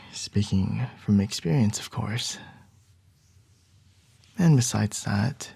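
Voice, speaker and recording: A man's voice: animated, vocal fry, breathy edge, no room sound, heavily acted. A man playing a whispering, domineering CEO boss. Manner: soft low whispering, measured and domineering. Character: commanding young male CEO boss, smooth and controlling. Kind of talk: ASMR roleplay.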